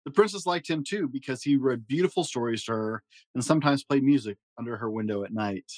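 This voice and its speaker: A man reading a children's fairy tale aloud in a gentle storytelling manner. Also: a little fast, no echo. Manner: gentle storytelling with varied pitch for emphasis. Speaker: storybook reader.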